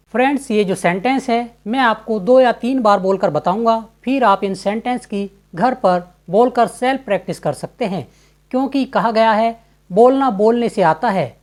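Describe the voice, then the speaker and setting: A woman teaching spoken English, explaining in a clear, even instructional tone and repeating each example sentence twice, very slowly and deliberately. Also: fairly high pitch. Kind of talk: slide-based spoken English lesson.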